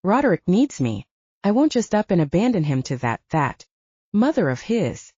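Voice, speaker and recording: A woman reader plays a defiant romance heroine, blunt and resolute. Her voice is mellow and a little low, swinging in pitch, in an audiobook reading. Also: very animated, normal speed, breathy edge, dry recording, lo-fi sound. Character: stubborn, defiant woman in love with a werewolf.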